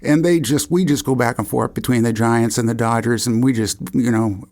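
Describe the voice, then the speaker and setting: An older man hosting a casual interview, joking and gently putting himself down as he talks. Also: mid-range voice, steady tone, normal speed. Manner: jokey, self-deprecating. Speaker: interview host, musician. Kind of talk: casual chat.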